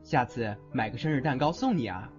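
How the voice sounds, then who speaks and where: A man acts a young audio-drama charmer, teasing yet protective, with a widely swinging pitch. The sound is a little dull, with soft music behind. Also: expressive, a little fast, natural voice. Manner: playful, teasing. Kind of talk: Chinese audio drama acting.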